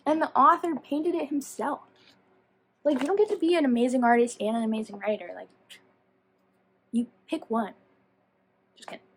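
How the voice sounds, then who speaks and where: High-voiced woman rambling casually, noisy background. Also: moderate pace, pauses often. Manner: rambling, self-deprecating, tangential. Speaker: book reviewer vlogger. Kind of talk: casual chatty video talk.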